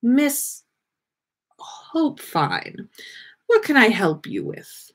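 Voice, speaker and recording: A woman voicing a dull, absent-minded old male ghost professor in a storybook, speaking in a halting, formal, ponderous way. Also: a little high, very animated, slightly rough, heavily acted. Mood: flustered, hesitant.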